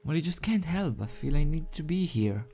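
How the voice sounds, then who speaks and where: A man voicing a caring, worried young female unicorn pony in a storybook reading, soft-spoken and anxious. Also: fairly high pitch, expressive, normal speed, pitched above the performer's own voice.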